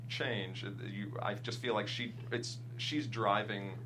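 A man's voice: on the low side, steady tone, measured pace. A man talking casually as a screenwriter being interviewed about his script. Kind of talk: casual discussion of their script. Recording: audible background hum and noise.

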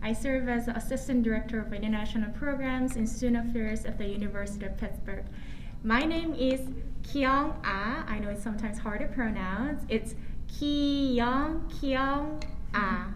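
A woman from South Korea with a Korean accent, giving an earnest, halting personal talk at a panel session, with many "you know" fillers. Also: fairly high pitch, background noise. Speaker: university staff speaker.

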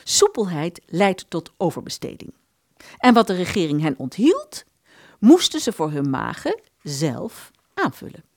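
A woman narrating a literary radio play in an expressive storytelling manner. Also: on the low side, a little slow, no echo, studio quality.

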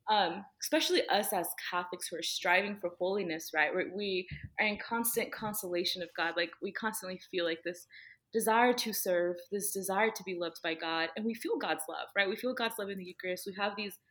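Young woman guest on a podcast, earnest, says "like" a lot, mid-pitched voice. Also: a little fast, a bit bright. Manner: earnest, lots of fillers like "like" and "um". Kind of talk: casual faith conversation.

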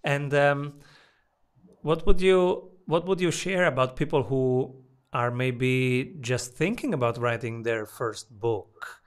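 A man's voice: medium pitch, slow pace, clear, resonant tone. A man hosting an interview, asking questions and giving short encouraging reactions. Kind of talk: interview host.

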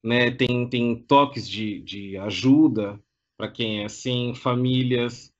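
A man's voice: mid-range voice, steady tone, takes it slowly, lo-fi sound. A man with a Brazilian Portuguese accent hosting a casual interview show in an effusive, informal way. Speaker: show host.

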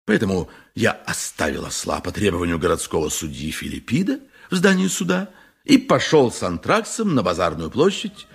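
An actor playing a pompous, wily populist lawyer in a radio play, fervent and rhetorical, with dramatic pauses. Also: male voice, fairly low pitch, wildly swooping pitch, vocal fry, breathy edge, exaggerated voice. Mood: brisk encouragement. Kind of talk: 1980s radio play monologue.

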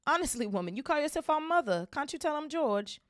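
A woman reading aloud and voicing a cheeky teenage boy twin who teases his mother, in a much higher, louder voice. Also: big pitch swings, a little fast, no room sound, studio quality. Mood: mock-indignant. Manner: teasing, mock-indignant.

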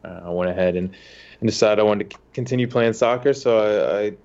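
A man's voice: fairly low pitch, fast, darkish tone, dull audio. A young man from the United States, a soccer goalkeeper, telling his story in a casual interview with many fillers and restarts.

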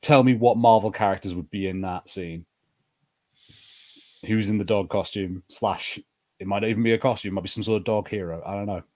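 A man's voice: fairly low pitch, fairly even intonation, a little fast, darkish tone. A man co-hosting a podcast in casual, bantering conversation about pop culture. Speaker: podcast host.

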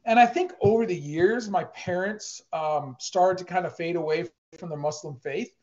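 A man's voice: high-pitched, normal speed, a little mellow, muffled recording. A man in his forties, born in Iran and raised in the United States, telling his life story earnestly in a casual interview. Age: 40s. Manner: earnest, repeats words as he talks. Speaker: sports team chaplain and former youth pastor.

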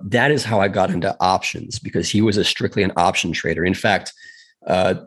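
A man from South Africa, a podcast guest telling his story in a chatty, earnest way with frequent fillers. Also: sits low. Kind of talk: casual storytelling in a podcast interview.

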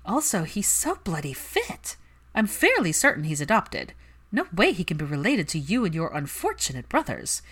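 A woman voicing a haughty, sharp-tongued young witch with a huffy, dramatic manner in a story reading. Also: fairly low pitch, wildly swooping pitch, moderate pace, slightly rough, heavily acted. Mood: gleeful, unapologetic.

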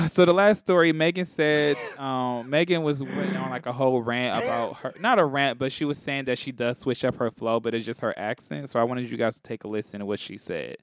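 A man's voice: medium pitch, a little fast, hardly any pauses, no echo, clean studio sound. A man co-hosting a casual pop culture podcast, explaining his points with examples as he goes. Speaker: podcast co-host. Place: podcast studio.

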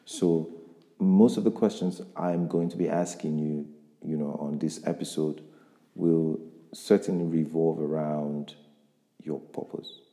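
A man's voice: extremely low pitch, fairly even intonation, a little slow, warm tone. A man interviewing a guest on a podcast, speaking reflectively and motivationally, with frequent restarts. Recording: echoey room with long reverb.

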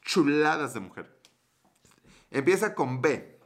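Mexican male streamer, rambling and crude, bright forward tone. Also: fairly high pitch, very animated, slow pace. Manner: joking, crude slang, rambling. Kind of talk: casual livestream chat, reading viewer comments.

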